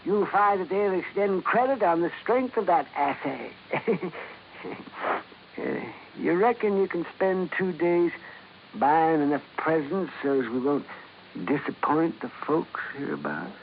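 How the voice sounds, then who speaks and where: An actor playing a sly, chuckling little old American prospector with a folksy drawl, in old-time radio drama style. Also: male voice, a little high, lively intonation, unhurried. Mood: gleeful, sly. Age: older. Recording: muffled old radio recording, faint hiss.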